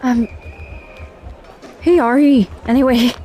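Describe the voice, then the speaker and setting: An actress playing a crash-landed space commander, questioning and wary, in an audio drama. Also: female voice, sits high, takes it slowly, mellow, soft background music. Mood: curious.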